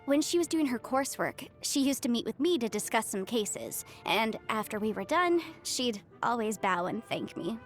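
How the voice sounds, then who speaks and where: A young female legal adviser from a video game with a high, very lively voice. She is chatty, proud and articulate, delivering her lines with studious self-assurance, over faint music. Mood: bright, polite.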